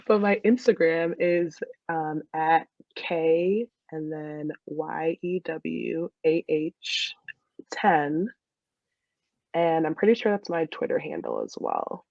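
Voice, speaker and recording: A woman's voice: low-pitched, takes it slowly, creaky, dry recording, muffled recording. A woman in her twenties with an American accent, chatting casually as an interview guest in a giggly, storytelling way. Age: 20s. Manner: giggly, animated storytelling with quoted dialogue. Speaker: podcast guest, soccer player.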